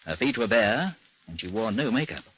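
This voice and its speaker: An actor playing a composed, dry investigation chief in an old radio drama, speaking and narrating in a formal, understated way. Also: male voice, lowish voice, very animated, normal speed, a bit bright, husky, exaggerated voice, low-quality recording. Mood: measured narrating. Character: composed, dry British chief of a missing persons bureau, narrating his case.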